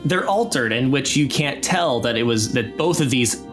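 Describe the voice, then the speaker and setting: A man acts as game master for a tabletop game, narrating casually. His pitch moves around a lot, giving an animated delivery. Music plays clearly behind him. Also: normal speed. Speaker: game master and narrator of an actual play podcast.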